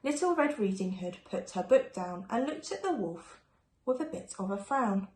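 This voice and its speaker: A woman's voice: medium pitch, animated, normal speed, darkish tone. A woman reading a rhyming children's storybook aloud expressively, like a primary school teacher. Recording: echoey room, faint background noise.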